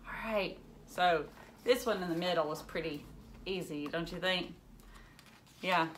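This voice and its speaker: A woman's voice: lowish voice, a little slow, fairly bright tone, slight background noise. A woman in her thirties reading a picture book aloud to young children, with exaggerated wonder and lots of questions. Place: indoors, furnished living room.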